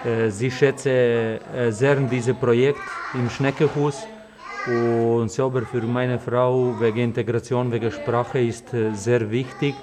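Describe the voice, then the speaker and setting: A man speaking slowly and haltingly in an interview. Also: mid-range voice, flat delivery, background noise. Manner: slow, halting.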